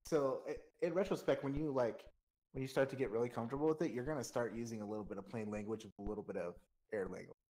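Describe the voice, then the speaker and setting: Medium-pitched man coaching informally. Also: fast, mellow, rough recording. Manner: informal coaching, explains with examples. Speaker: air traffic controller coaching a flight sim player. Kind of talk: casual explanatory chat.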